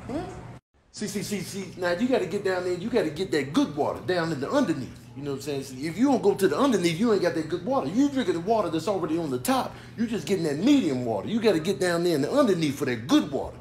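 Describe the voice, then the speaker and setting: A man's voice: sits high, a little mellow. A man voicing a fussy animal full of homespun advice in a comic video dub, slangy, insistent and repetitive. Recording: roomy, echoey, clearly noisy.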